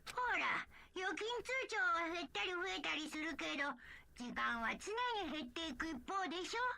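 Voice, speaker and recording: A woman's voice: extremely high pitch, quick pace, creaky, bright, forceful tone, full character voice. A woman voicing a mischievous male robot bear who hosts a deadly game, in sing-song video game acting. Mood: playfully smug.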